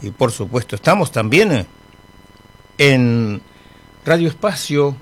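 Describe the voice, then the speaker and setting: Middle-aged argentine guy on the radio, chatty and rambling, mid-pitched voice, studio mic. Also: very animated, slow. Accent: Argentine Spanish. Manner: chatty, rambling, friendly with humour. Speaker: radio host. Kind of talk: casual radio presenting between songs.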